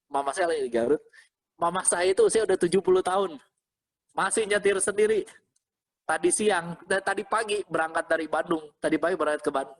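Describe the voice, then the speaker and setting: A man preaching as a pastor in an informal, interactive way, repeating phrases and checking in with listeners. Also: sits high. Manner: interactive, often checking with listeners using short questions; animated, exhorting, repeats phrases. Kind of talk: informal conversational sermon to an online congregation.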